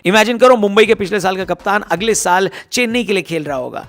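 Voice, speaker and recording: Hoarse, fast-talking man giving cricket news. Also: high voice, lively intonation, a bit bright. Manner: chatty, teasing, addresses viewers directly. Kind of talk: casual sports news commentary to viewers.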